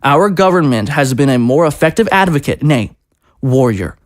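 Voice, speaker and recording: An actor playing a presidential aide who speaks in a polished, rhetorical, conciliatory way. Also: male voice, fairly high pitch, expressive, moderate pace, dry recording, studio quality, lightly acted. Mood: persuasive. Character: smooth persuasive presidential aide, man.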